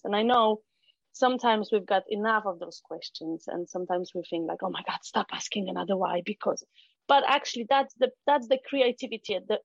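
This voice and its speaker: Polish-accented woman, halting and chatty. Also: mid-range voice, no room sound, muffled recording. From Poland. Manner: hesitant, many restarts and fillers. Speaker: guest, founder of an arts venue for kids. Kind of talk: casual interview chat.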